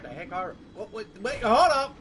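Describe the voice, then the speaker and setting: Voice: medium pitch, big pitch swings, moderate pace, heavy background noise. A gamer giving casual, reactive commentary while playing, with exclamations and muttering. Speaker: gaming YouTuber playing and reacting. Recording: loud game music behind the voice. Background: loud game music.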